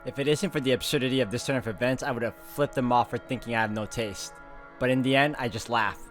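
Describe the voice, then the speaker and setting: A man reads visual novel narration aloud as a gaming host, in an informal and expressive manner. His voice sits at a middle pitch, with game music softly behind it. He slips easily between story text and casual remarks. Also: fast talker. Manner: informal, expressive, stumbles while reading.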